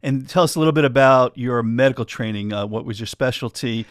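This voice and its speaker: A man in his sixties hosts a medical interview with open enthusiasm and the odd halting restart. His pitch sits in the middle range, and the recording is dry and close. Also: no echo. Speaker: physician interview host. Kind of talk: friendly interview hosting.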